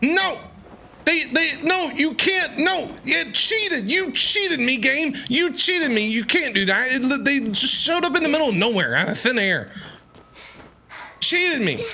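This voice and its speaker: A man giving excited game stream commentary, exclaiming and repeating his phrases in a panicky way. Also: very high voice, unhurried, vocal fry, a little hiss or hum. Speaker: streamer playing and reacting to a video game.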